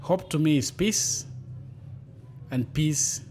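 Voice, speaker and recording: A man living in a refugee camp, reading a prepared statement in a measured, solemn way. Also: a little high, a little mellow, a little hiss or hum. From Kenya.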